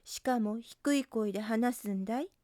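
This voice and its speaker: An earnest boy rabbit from a children's storybook, played by a woman. The voice is high and bright-edged in its sincerity, gentle and persuasive, with a brave, caring temper. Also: moderate pace, no room sound, studio quality, close to an everyday voice. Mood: questioning, sincere.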